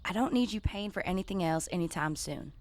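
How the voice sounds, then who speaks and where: A woman's voice: fairly low pitch, animated, brisk, dry recording, lightly acted. An actress playing a blunt, practical rural woman, in a plain-spoken radio drama style. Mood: frank. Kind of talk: naturalistic radio drama acting.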